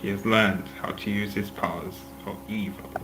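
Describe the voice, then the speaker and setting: A man's voice: lowish voice, steady tone, moderate pace, noisy background. A man reading scripted narration and stage directions in an amateur table read. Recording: music behind the voice. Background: music.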